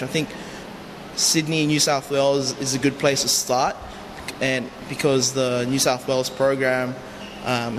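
A man from the Philippines giving unscripted, halting interview answers as a youth coach. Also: medium pitch. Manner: hesitant, halting phrasing. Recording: long reverb, clear background noise.

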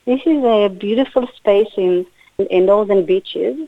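A middle-aged woman from Israel with an Israeli accent, a native Hebrew speaker answering radio interview questions in halting English with restarts. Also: medium pitch, no echo. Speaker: guest artist. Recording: tinny phone line.

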